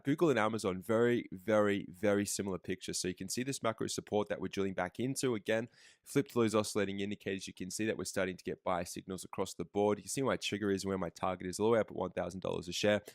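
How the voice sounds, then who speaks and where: Male trading educator, sick with the flu, fast and fairly low-pitched, self-correcting as he talks. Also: no echo, clean studio sound. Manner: fast, steady walkthrough with fillers and self-corrections. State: has the flu, losing his voice. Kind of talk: screen-recorded market analysis.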